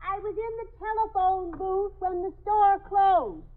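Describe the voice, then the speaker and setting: A woman's voice: extremely high pitch, a little slow. An actress playing an anxious, insistent woman who is locked in, calling out and explaining herself in 1950s radio drama style. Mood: anxious, flustered. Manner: calling out loudly, chattering explanations. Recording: muffled phone-like 1950s radio recording.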